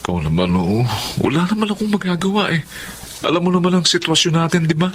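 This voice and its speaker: An actor playing a rich, older married lover, indulgent and placating, in a Filipino radio drama with faint ambience. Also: male voice, on the high side, animated, fast, a little hiss or hum, lightly acted.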